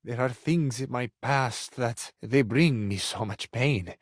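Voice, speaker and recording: Game role: suave Italian lover-cabinet, medium-pitched, florid and flirtatious, passionate, very dry close sound. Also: male voice, slow pace, dry recording. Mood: pained, pleading. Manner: flirtatious, florid, earnest, lingering on endearments. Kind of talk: theatrical romantic video game voice acting.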